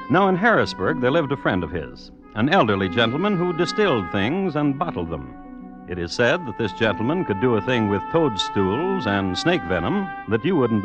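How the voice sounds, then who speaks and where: A man's voice: medium pitch, lightly acted. A man playing a droll, mock-solemn narrator of macabre tales in an old radio drama. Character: wry male storyteller of macabre tales. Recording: muffled vintage radio recording. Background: music.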